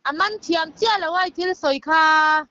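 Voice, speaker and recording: Very slow, high-voiced woman. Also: pushed and bright, no echo. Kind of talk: casual phone conversation. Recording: muffled, dull phone-call audio.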